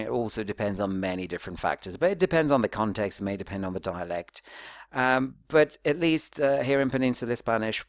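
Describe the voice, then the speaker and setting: A man's voice: fairly low pitch, dry recording, studio quality. A man presenting an academic talk in a conversational, explanatory way, checking in with little tags and questions. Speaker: academic presenter, phonetics researcher.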